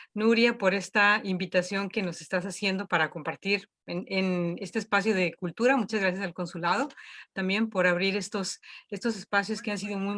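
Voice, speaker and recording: A woman's voice: mid-range voice, bright, forceful tone. Hesitant woman teaching a workshop. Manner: hesitant, many fillers and restarts. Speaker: workshop instructor. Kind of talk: online cultural workshop. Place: home.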